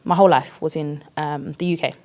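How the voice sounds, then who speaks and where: Here is a woman in her thirties with a London accent, speaking casually as a podcast guest. She talks in a chatty, expressive way and laughs easily. Her voice sits fairly low for a woman. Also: brisk. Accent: London English. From East London, England. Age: 30s. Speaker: guest, worship leader.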